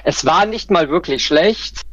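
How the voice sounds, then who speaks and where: A man's voice: a little high, animated, a little slow, fairly bright tone, dull audio. A man joining a podcast as a guest football fan, chatting casually and hesitantly, thinking aloud with many fillers.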